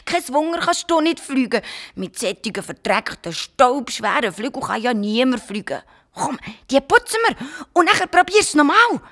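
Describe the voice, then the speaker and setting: A woman with a Swiss German accent voicing an eager, cheeky little creature that talks in loud, bossy exclamations. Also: fairly high pitch, expressive, moderate pace. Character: small eager excitable creature, bold and cheeky. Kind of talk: children's storytelling.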